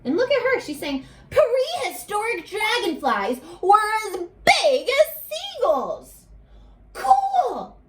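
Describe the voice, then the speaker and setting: Female teacher for a kids' read-aloud: unhurried delivery, big expressive swings, invites children to answer. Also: very high voice, low-quality recording. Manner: expressive, exclamatory, coaxing children to respond. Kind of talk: animated children's read-aloud with questions to listeners.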